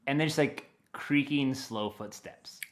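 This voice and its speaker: A man speaking as an audio dramatist guest in a conversational, enthusiastic interview, telling things in an animated way. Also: medium pitch, a little slow.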